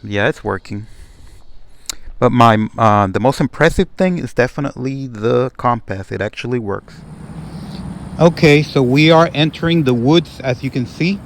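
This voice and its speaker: A man's voice: medium pitch, moderate pace, a little hiss or hum. A man giving a casual, unscripted hands-on demonstration as a product reviewer, narrating each step and often repeating himself.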